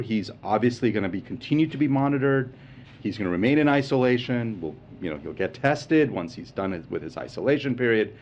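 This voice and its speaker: A man's voice: medium pitch, highs cut off, a little hiss or hum. A man answering reporters' questions at a press briefing, explaining in a reassuring way and stressing key points with energy. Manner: reassuring, explanatory, animated emphasis. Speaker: medical official at briefing.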